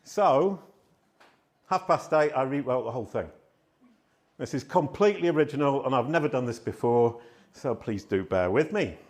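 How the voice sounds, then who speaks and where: A middle-aged man giving a live conference talk in a hall on a handheld microphone, telling stories loudly and poking fun at himself. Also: mid-range voice, normal speed, long pauses. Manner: loud, animated, self-deprecating storytelling. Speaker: conference speaker.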